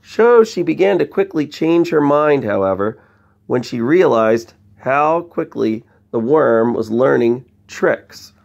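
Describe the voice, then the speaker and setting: A man's voice: mid-range voice, animated, takes it slowly, gravelly, darkish tone. A man reading a picture book aloud to children, expressively, with chatty asides and questions to young listeners. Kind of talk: bedtime story read-aloud with conversational asides.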